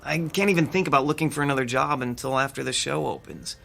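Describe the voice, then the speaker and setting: A worn-out, grumbling young stagehand in a radio drama. His voice is bright and mid-pitched. Faint music plays behind him. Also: quick pace, creaky. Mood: weary. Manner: weary, grumbling. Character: frustrated young male theater techie.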